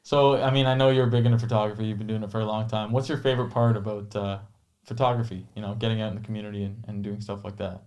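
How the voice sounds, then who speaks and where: A man's voice: fairly low pitch, fairly even intonation, darkish tone. A man from Newmarket who hosts a studio talk show, joking along with his guests and asking friendly questions. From Newmarket, Canada. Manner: friendly, joking, quick to agree. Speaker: host, newspaper publisher. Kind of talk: casual podcast interview.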